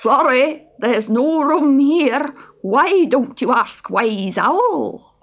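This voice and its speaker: A woman voicing a sleepy, gruff old male otter in a children's story, slow and drawn-out. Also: high voice, expressive, dull audio, voice pushed up, exaggerated voice. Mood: grumpy.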